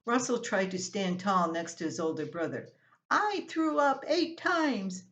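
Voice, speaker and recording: An older woman reading a scripted, humorous family column aloud as its writer, in an expressive storytelling manner. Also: medium pitch, unhurried. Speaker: columnist reading own writing.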